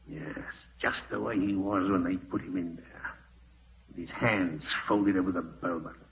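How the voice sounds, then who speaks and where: A callous, irritable schemer in an old-time radio horror play. His low voice swings dramatically in pitch as he mutters and snaps. The broadcast is tinny, with some hiss. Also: full character voice. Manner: muttering to himself, melodramatic, snappish. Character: scheming, callous male relative plotting murder, panicking and irritable. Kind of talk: 1940s radio horror acting.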